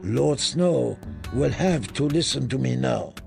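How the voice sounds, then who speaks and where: Man reads proud red priestess for a fantasy audiobook: commanding, unhurried, gravelly. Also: mid-range voice, lively intonation, a little mellow, faint music behind, exaggerated voice.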